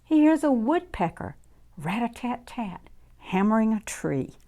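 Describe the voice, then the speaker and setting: Female children's storytime reader. Unhurried, expressive, sing-song delivery with plenty of pitch movement. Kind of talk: read-aloud storytime for young children.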